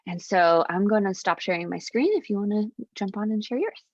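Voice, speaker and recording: A woman's voice: mid-range voice, expressive, fairly quick, a little mellow, dry recording. A woman co-presenting in an informal webinar, explaining in a slightly tentative way over a lo-fi video call. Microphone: computer mic on a video call. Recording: muffled, lo-fi video call audio.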